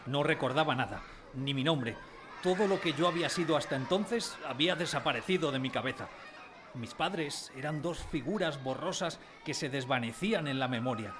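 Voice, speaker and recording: A man playing a sensitive grown man who recalls his timid boyhood, in expressive, dramatised first-person storytelling. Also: a little high, moderate pace, crowd noise, noisy background, natural voice. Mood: anxious, tense. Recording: prominent music behind the voice.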